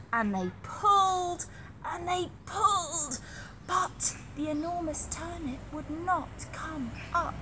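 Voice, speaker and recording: A woman reading a story aloud to young children in an animated, deliberate, emphatic way, as a nursery storyteller. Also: sits high, background noise.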